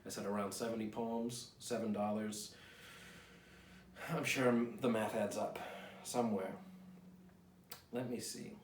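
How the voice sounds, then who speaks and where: A man in his thirties with a fairly low voice talks casually and haltingly, poking fun at himself. He sounds a little far from the microphone in a small, echoey room at home. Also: steady tone, a little hiss or hum. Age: 30s. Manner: self-deprecating, hesitant, halting. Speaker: writer promoting own poetry book. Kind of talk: rambling casual chat to camera. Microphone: camera a few feet away. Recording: roomy, distant from the mic.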